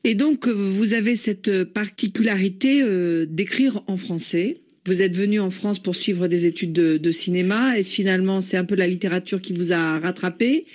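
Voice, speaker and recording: A man interviewing an author on the radio, asking formal, measured questions. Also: sits high, a little mellow.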